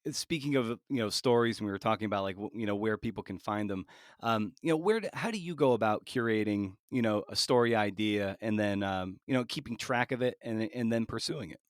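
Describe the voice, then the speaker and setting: A man's voice: on the low side, brisk, dry recording, clean studio sound. A man hosting a casual interview, rambling and searching for his phrasing as he goes. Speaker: podcast host interviewer.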